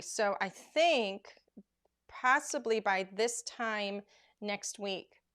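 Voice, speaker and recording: Woman, craft show host, hoarse from losing her voice, chatty, rambling, filler words. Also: medium pitch, fairly bright tone. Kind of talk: live crafting demonstration.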